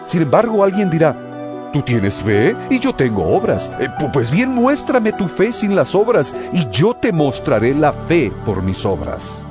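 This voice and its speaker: Emphatic, animated apostle over music. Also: male voice, a little high, unhurried. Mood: challenging, scolding. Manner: emphatic, rhetorical, admonishing. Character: earnest male apostle exhorting and admonishing his brethren. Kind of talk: dramatized scripture reading with oratorical delivery.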